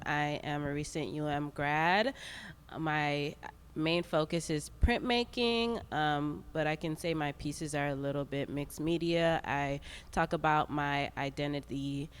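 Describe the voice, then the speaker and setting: Woman in her twenties, from Saint Lucia, smooth, clear and relaxed panel speaker. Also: deep voice, a little slow. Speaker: artist on a panel. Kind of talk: casual self-introduction. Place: art gallery.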